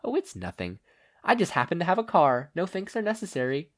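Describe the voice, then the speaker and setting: Man doing a modest, flustered mother, pitched up. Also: wildly swooping pitch, fairly quick, fairly bright tone. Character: kindly, modest, easily flustered middle-aged mother.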